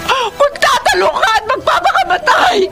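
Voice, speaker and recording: Radio drama: passionate, forgiving woman in love, very high, swinging pitch, melodramatic, over music. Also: big pitch swings, moderate pace, full character voice. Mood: frantic. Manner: emotional, melodramatic. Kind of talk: Filipino radio drama acting.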